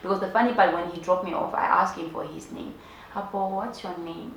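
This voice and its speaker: A Namibian woman telling a personal story in a casual, animated chat, acting out dialogue and repeating phrases for emphasis. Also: on the low side, fairly quick. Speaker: storyteller on a YouTube channel. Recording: echoey room, faint background noise.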